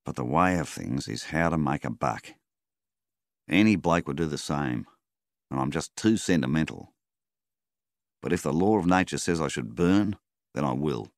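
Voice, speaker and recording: A man voicing a gruff, hungover middle-aged bush farmer who talks bluntly and coaxes his dog. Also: rumbling low, animated, moderate pace, pauses often, no room sound, studio quality, exaggerated voice. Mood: angry.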